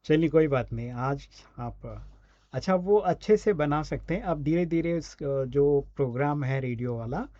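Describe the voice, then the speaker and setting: A man's voice: on the high side, measured pace, mellow, no room sound, highs cut off. A man with an Indian accent coaching in a conversational, explanatory way, often checking in with short questions. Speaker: voice and radio scriptwriting coach. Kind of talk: conversational online teaching.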